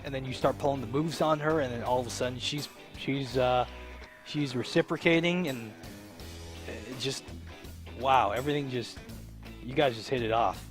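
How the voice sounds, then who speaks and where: A man's voice: medium pitch, normal speed. Male let's play host, mid-range voice, informal and jokey, music underneath. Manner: joking asides and exclamations over the story. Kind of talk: casual game commentary, reading aloud and reacting. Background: game music with plucked guitar.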